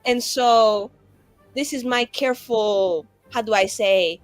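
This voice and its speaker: A woman's voice: fairly high pitch, slow, no echo, soft background music. Woman acting a brash, tipsy, rambling tavern drinker. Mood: wary, bossy. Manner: rambling, theatrical, teasing. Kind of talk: improvised tabletop roleplay.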